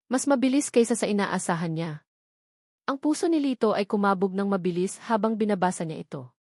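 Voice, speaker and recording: A woman with a Filipino accent narrates a dramatic story. Her voice sits at a middle pitch for a woman. She reads from a script as a storyteller, and the recording is dry, with hardly any room sound. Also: a little fast, darkish tone, dry recording.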